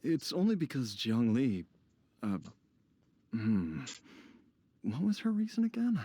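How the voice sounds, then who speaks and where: A man's voice: medium pitch, huge pitch swings, takes it slowly, dark tone, breathy edge, no echo, full character voice. An actor voicing a confused, apologetic, forgetful father in a video game, hesitant, with music behind. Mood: grateful.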